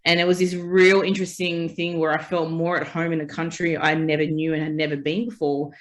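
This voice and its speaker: A woman's voice: deep voice, flat delivery, brisk. Australian woman telling her story. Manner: reflective storytelling, uses "you know" and "like". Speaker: guest, festival founder. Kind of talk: casual interview chat.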